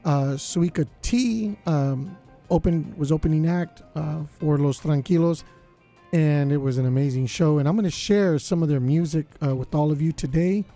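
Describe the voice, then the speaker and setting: A man hosting a college radio show, his voice slightly raspy, chatting casually with lots of fillers. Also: on the high side, moderate pace, warm tone, faint music behind. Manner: laid-back, lots of fillers. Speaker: radio DJ host.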